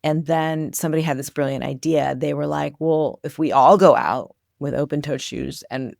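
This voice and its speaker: A woman in her forties, born of Iranian heritage, telling stories as a podcast guest in a lively, filler-filled way. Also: low-pitched, normal speed, creaky, dry recording, clean studio sound. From Iran. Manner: animated storyteller, many "like" and "you know" fillers. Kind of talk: casual interview storytelling.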